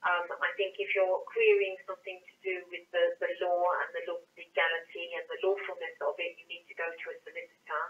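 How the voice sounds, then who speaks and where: A woman's voice: on the low side, flat delivery, brisk, few pauses. A woman handling a call for an office, explaining carefully and hesitantly with many stammered repeats over a distant, tinny telephone line. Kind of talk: explanatory phone conversation.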